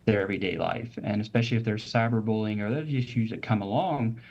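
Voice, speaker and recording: A man speaking as a medical guest on a talk show, explaining mental health in a steady, methodical way with frequent "uh" and "um". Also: on the low side, fast, dull audio. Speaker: physician guest.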